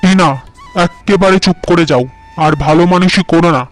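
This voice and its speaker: A man's voice: high-pitched, brisk, faint music behind, lightly acted. A man voicing a young farmer husband in a cartoon tale, who speaks in a stern, scolding way. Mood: angry.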